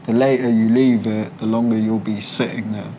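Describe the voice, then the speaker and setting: A man's voice: on the low side, little pitch movement, moderate pace, mellow, slight background noise. A man with a London accent talking candidly in an interview, colloquial and explanatory. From London, England. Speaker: interviewee, British expat. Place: a condo apartment.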